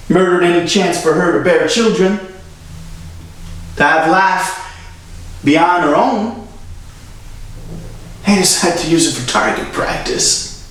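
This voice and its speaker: A man acting a dramatic monologue as a young, earnest defense lawyer pleading solemnly and deliberately to a jury. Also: sits high, fairly bright tone, heavily acted. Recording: very echoey room, far from mic.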